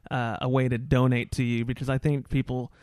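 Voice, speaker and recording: A man's voice: medium pitch, level tone, brisk, darkish tone, clean tone, no room sound, clean studio sound. A man hosting a casual podcast interview, chatty and given to joking, teasing asides. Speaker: podcast host. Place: indoor studio.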